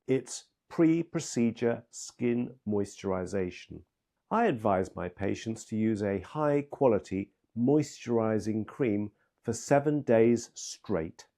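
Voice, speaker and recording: A man with a soft-edged, mellow voice at a middling pitch presents scripted instruction as a medical trainer. He speaks in a measured, step-by-step manner and leans firmly on key words. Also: vocal fry. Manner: emphatic, measured, step-by-step delivery.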